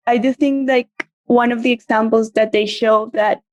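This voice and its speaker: A woman in her thirties, raised in Colombia with Spanish as her first language, speaking English in a measured, halting way as an interview guest. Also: a little high, fairly even intonation, no room sound. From Venezuela, raised in Colombia. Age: 30s. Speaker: guest, media strategist. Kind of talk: casual interview chat.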